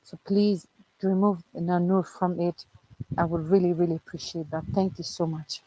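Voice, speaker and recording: A woman from Afghanistan giving an earnest, firm personal message, explaining her reasons deliberately. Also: on the low side, steady tone, warm tone, no room sound. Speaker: charity director, activist. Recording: muffled lo-fi voice clip.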